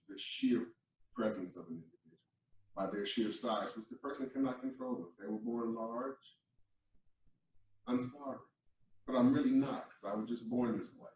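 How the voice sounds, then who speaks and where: A man's voice: medium pitch, a little mellow. A man taking part in a workshop discussion, speaking expressively from his own experience. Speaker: workshop participant. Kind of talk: group discussion. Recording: echoey room from far off.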